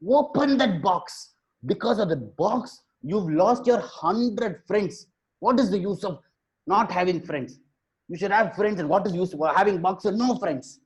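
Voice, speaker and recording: A man's voice: high voice, expressive, unhurried. A man voicing a wise, helpful owl in an oral children's story, coaxing and admonishing in a frank way. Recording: dull, muffled lo-fi audio.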